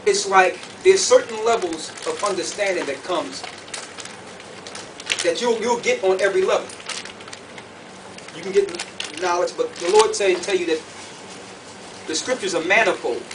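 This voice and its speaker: High-voiced male preacher, distant noisy recording. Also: normal speed, pauses often. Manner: emphatic, repeats phrases, puts questions to listeners. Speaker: preacher and Bible teacher. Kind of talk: sermon, conference teaching to a group. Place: conference room with an audience. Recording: distant mic, clearly noisy room recording.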